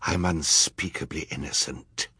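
A man voicing a snarling, smug, theatrical villain count with an oily, drawn-out delivery in an audiobook. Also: very deep voice, sharp tone, breathy edge, no echo, studio quality, heavily acted. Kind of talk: audiobook character acting.

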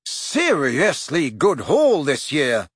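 A man voicing a lovesick, excitable teenage boy wizard in an audiobook, with a dreamy, breathless way of talking. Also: on the high side, slow pace, husky, dry recording, clean studio sound, heavily acted. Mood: cheerful.

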